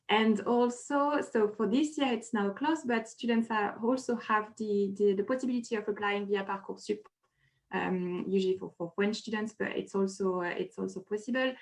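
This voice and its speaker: A woman's voice: mid-range voice, gravelly, rough recording. Woman, admissions staff, informal, friendly, video call. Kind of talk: explaining deadlines in a webinar.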